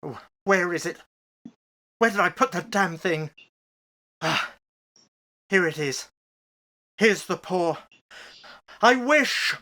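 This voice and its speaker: An actor as a fearful older man in a horror radio play. He speaks slowly and haltingly, with pitch that swings dramatically. He sounds agitated and pleading. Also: lively intonation, pushed and bright, a little hiss or hum, exaggerated voice. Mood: frantic.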